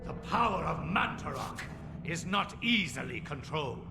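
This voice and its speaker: A man voicing an ancient, scornful, commanding dark god with a gravelly voice as a theatrical game villain. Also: fairly high pitch, sing-song, moderate pace, over loud music. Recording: noisy, echoing ambience.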